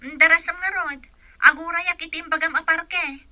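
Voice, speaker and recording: A woman's voice: extremely high pitch, fairly even intonation, normal speed, bright, forceful tone, no echo, exaggerated voice. A woman playing a helpful, teasing young college student in an audio drama.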